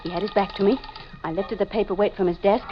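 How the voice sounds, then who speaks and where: A woman's voice: medium pitch, steady tone, fast, lightly acted. An actress playing a haunted, desperate wife who confesses her murders, in intense, melodramatic 1940s radio mystery style. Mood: haunted, confessing. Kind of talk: 1940s radio mystery acting, first-person narration. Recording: dull old 1940s radio recording with music.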